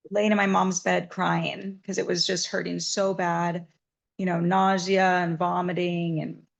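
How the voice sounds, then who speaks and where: Massage therapist lady, lowish voice w some vocal fry, earnest, telling her story. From Southern Nevada. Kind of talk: interview, personal storytelling.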